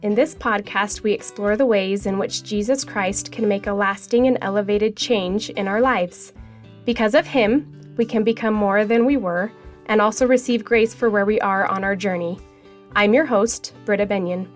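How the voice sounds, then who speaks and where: A woman's voice: mid-range voice, steady tone, moderate pace, faint music behind. A woman in her forties hosting a faith podcast, chatting in a friendly, encouraging way with many small fillers. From United States. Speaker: podcast host. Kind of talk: casual interview chat.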